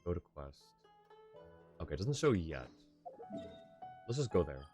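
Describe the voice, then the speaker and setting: A man's voice: sits low, very animated, unhurried, a little mellow, faint music behind. A male streamer chatting casually while playing a game, rambling and laughing often. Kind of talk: casual gameplay chat.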